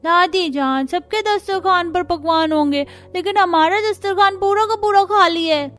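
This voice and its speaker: A woman's voice: very high voice, moderate pace, fairly bright tone, faint music behind. A woman voicing a poor, plaintive young child in a cartoon story. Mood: sad complaining. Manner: plaintive, complaining. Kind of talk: Hindi cartoon story voice acting.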